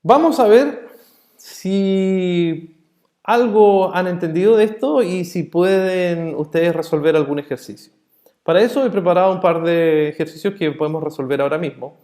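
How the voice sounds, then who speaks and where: A man teaching a networking course in a relaxed, chatty way. He often asks the class short check-in questions and explains ideas with everyday comparisons. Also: high voice, normal speed. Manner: illustrates points with everyday analogies. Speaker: networking instructor. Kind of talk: explanatory online lesson. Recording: roomy echo.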